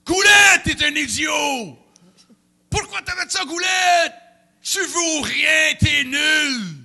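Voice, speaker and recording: A man voicing a harsh male hockey coach who shouts insults at a young player. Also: extremely high pitch, animated, slow pace, gravelly, voice pushed up, exaggerated voice. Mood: contemptuous.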